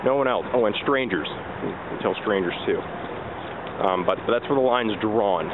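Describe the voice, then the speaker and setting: A man's voice: on the low side, moderate pace. A young man rambling casually through a walking vlog, joking at himself while he strolls outdoors. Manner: chatty, rambling, self-joking asides. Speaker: vlogger. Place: outdoors at a theme park resort, walking. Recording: tinny lo-fi audio with outdoor noise.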